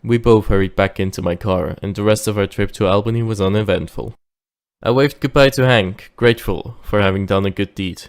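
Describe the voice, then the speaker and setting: A man playing a calm, shady driver who narrates his own tale in an audio drama. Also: on the low side, brisk, smooth, lightly acted. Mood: measured, wry. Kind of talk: scripted first-person audio drama narration.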